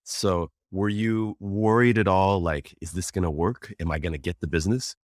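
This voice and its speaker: A man's voice: low-pitched, fairly even intonation, moderate pace, dry recording, clean studio sound. An American man hosting an interview, friendly and enthusiastic, rambling with lots of restarts and fillers. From United States. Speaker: podcast host, interviewer. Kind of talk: casual conversational interview.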